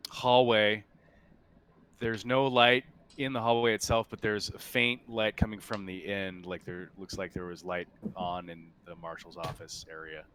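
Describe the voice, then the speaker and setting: Low-voiced man running a tabletop game. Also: little pitch movement, measured pace, fairly bright tone. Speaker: game master. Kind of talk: improvised tabletop game narration. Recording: faint background noise.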